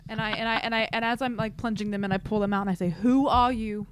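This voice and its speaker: A woman playing a tabletop role-playing game, talking informally and haltingly at the table. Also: a little high, steady tone, slight background noise. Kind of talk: casual game-table chat.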